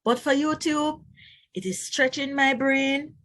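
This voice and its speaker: A woman teacher reading a children's book aloud, pausing to explain and put questions to young listeners. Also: sits high, fairly bright tone.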